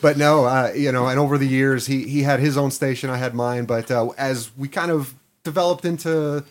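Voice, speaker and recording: Man, podcast guest, casual rambling storytelling, mid pitch. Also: fairly even intonation, moderate pace. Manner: rambling, easygoing storytelling with many restarts. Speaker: podcast guest, internet radio host.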